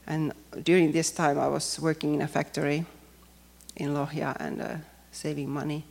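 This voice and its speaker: A woman from Finland with a Finnish accent, speaking English as a second language and giving a personal testimony in a careful, hesitant way with frequent pauses. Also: low-pitched, level tone, gravelly, darkish tone. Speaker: church member giving testimony.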